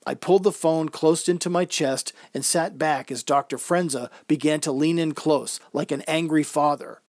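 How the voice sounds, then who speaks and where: A man narrates a first-person tale in an old-time radio storytelling style. His voice sits a little high for a man, and the sound is dry and close. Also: moderate pace, darkish tone, no echo.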